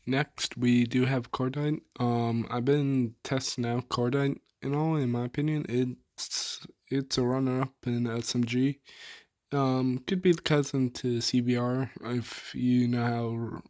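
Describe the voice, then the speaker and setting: A young gamer talks through his loadouts in a hoarse, worn voice, speaking slowly with a flat, even tone. He trails off often and fills pauses with "um". The sound is dry and close, with no room around it. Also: mid-range voice, no echo. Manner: laid-back, filler-heavy, trailing off. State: hoarse, soft on mic. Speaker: gaming YouTuber. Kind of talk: casual weapon build rundown.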